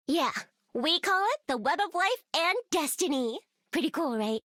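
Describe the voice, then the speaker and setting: A woman's voice: high-pitched, expressive, normal speed, fairly bright tone, no echo, clean studio sound. An actress playing an upbeat, confident young hero girl in a video game, casual and plucky.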